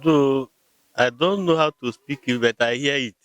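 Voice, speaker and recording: A man's voice: medium pitch, moderate pace, fairly bright tone, clean tone, no echo. Nigerian male interviewee, patient and hesitant, dry recording. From Nigeria, Rivers State. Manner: hesitant, repeats words, explains patiently. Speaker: local community member interviewed. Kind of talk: conversational answers.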